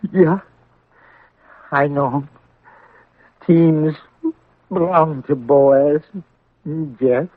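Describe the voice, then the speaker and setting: A man's voice: on the high side, extremely slow, long pauses, no echo. An actor playing an older, grieving and vengeful frontier father in a 1950s radio western, bitter and anguished. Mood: bitter grief. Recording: thin, phone-like vintage radio recording.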